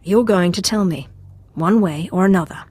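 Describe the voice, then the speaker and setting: An actress playing a cool, commanding Sith acolyte interrogating someone in a video game, controlled, clipped and authoritative. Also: female voice, on the low side, normal speed, darkish tone, slightly rough, no room sound. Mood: cold, insistent.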